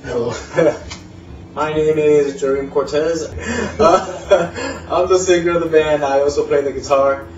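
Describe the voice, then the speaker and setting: A man in his thirties from Puerto Rico talks haltingly about himself, with many fillers and restarts. His delivery stays flat and even. He sounds distant in a roomy space, the sound is dull, and faint music plays behind him. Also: fairly high pitch. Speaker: band singer and guitarist. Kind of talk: casual self-introduction.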